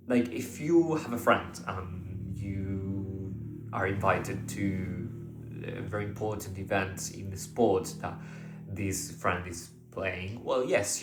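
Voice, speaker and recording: Slow, hesitant man in an echoey room. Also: fairly low pitch. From Argentina. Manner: hesitant, many fillers and restarts, unscripted. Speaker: vlogger. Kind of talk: casual monologue to camera.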